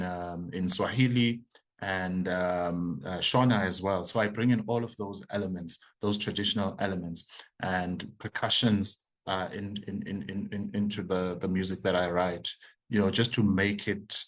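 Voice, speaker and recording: A South African man in his forties, whose first language is isiZulu, answers interview questions in a somewhat low voice. He talks thoughtfully and haltingly, stumbling over and repeating words as he thinks. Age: 40s. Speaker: choral conductor and composer, interview guest.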